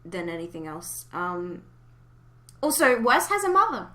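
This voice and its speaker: A woman's voice: fairly low pitch, big pitch swings, a little slow, sharp tone. A woman hosting a casual fan podcast, chatting in an informal, giggly way. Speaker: podcast host.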